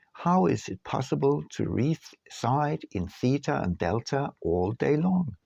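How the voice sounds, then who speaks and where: Male audiobook narrator, lowish creaky voice, deliberate delivery, muffled roomy recording. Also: a little mellow. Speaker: author reading his own book.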